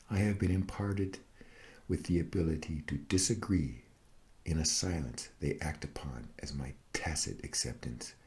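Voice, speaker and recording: A man gives a scripted dramatic recitation, a mock-meditative monologue in a solemn, deliberate, wryly serene manner. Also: extremely low pitch, a little mellow. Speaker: reader of own satirical prose piece.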